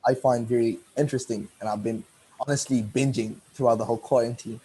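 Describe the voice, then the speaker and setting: A man's voice: medium pitch, steady tone, fast, warm tone, slight background noise, low-quality recording. Teen male interviewer, loose and rambling, self-correcting, casual. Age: teens. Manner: rambling, repeats and corrects himself.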